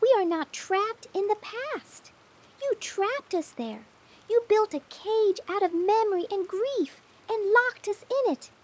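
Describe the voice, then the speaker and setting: A woman voicing a young sister's spirit made of light, speaking in a measured, solemn and reproachful way for a horror story. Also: very high voice, expressive. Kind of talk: scripted horror story dialogue.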